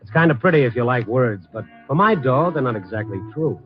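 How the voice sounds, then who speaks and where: A man's voice: medium pitch, animated, brisk, close to an everyday voice. Guy acting as wry streetwise american reporter, 50s radio noir, muffled old recording w music under it. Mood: brooding, wry. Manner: wry, streetwise, dramatic storytelling. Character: wry, hard-boiled male newspaper night-beat columnist. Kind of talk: 1950s radio drama narration.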